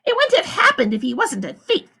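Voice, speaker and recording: A woman reading aloud, voicing a defensive, lying camp warden in a high, indignant voice. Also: wildly swooping pitch, a little fast, gravelly, pushed and bright, full character voice.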